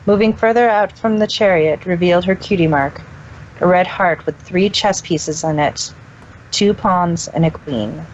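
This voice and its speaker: Low-voiced woman reading a story aloud. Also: unhurried. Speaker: co-reader and narrator. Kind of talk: amateur storybook narration of fan fiction. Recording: dull, faintly noisy home recording.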